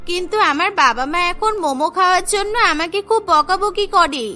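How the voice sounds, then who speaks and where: A loyal young schoolgirl in a cartoon, acted by a woman, very high and bright. She is childlike, outspoken and assertive, with music behind her. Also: lively intonation, fairly quick, heavily acted. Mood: pleading. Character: loyal, outspoken rich schoolgirl best friend.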